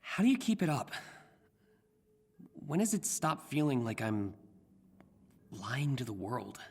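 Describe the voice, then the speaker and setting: A man's voice: mid-range voice, very animated, unhurried, breathy edge, full character voice. An American man voicing an earnest, awkward young superhero in a video game, speaking in a hesitant, rambling way. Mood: earnest, searching. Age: young adult. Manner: hesitant, self-conscious, rambling.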